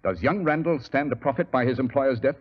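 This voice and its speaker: An actor playing a shrewd, commanding English gentleman detective with a British accent and clipped delivery in an old radio mystery. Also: mid-range voice, brisk, breathy edge, close to an everyday voice. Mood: shrewd, probing. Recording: dull, muffled 1940s radio recording.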